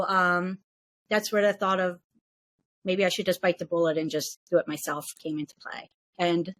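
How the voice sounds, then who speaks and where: A woman's voice: fairly low pitch, a bit bright. A woman on a podcast, casually recounting a trip in a rambling way with many fillers and restarts. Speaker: podcast guest. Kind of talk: casual storytelling.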